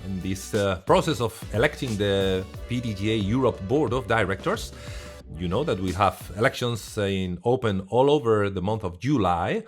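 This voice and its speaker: A man hosting an interview, asking long questions in a halting way and rephrasing as he goes. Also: on the low side. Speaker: interview host.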